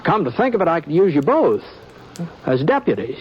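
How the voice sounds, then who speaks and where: An actor playing an easygoing, sly drifting cowboy sheriff in a 1950s radio western, with a halting, folksy drawl. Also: male voice, fairly high pitch, big pitch swings, a little hiss or hum. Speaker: lead actor and narrator. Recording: dull old radio broadcast with music.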